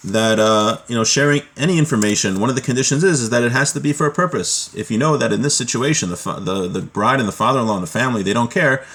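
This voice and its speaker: Man, medium pitch, religion teacher, informal lecture style, explanatory, conversational. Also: fast, hardly any pauses, a bit bright. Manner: explanatory, conversational, often checking with "right?". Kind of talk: informal lecture, reading Hebrew text aloud and explaining it.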